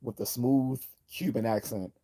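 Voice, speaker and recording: A man hosting a casual livestream, reading viewer comments aloud and rambling with many restarts and throat clearing. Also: mid-range voice, steady tone, measured pace, warm tone. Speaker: livestream host.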